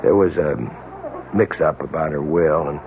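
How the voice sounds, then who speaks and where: An American actor playing a dogged, world-weary police detective in a radio drama, speaking earnestly and insistently with deliberate dramatic pauses. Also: male voice, a bass voice, noisy background. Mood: grim, wry. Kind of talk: 1970s radio drama acting. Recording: dull, lo-fi old radio drama recording.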